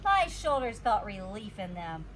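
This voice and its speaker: A woman reading a children's storybook aloud like a teacher, expressive and emphasizing her words. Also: mid-range voice, a little slow, a little hiss or hum. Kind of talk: animated storybook read-aloud.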